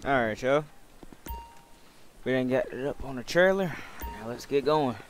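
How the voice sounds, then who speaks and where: A man's voice: medium pitch, expressive, a little slow, soft background music. A man giving casual, slangy, profane running commentary as he plays a roleplay video game, muttering to himself and viewers. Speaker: gaming video creator playing a roleplay game. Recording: loud vehicle and outdoor game noise behind. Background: loud game car and outdoor ambience.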